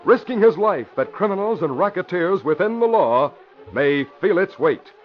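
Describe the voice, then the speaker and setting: A man's voice: high-pitched, lively intonation, moderate pace. A man announcing and narrating a 1940s radio drama with a dramatic, emphatic delivery. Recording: dull hissy old radio recording.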